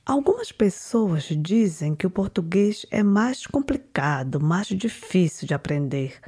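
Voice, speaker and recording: A woman narrating a documentary in a calm, measured, slightly whispery way and putting questions to her interviewees. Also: fairly low pitch, breathy edge. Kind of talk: reflective scripted narration.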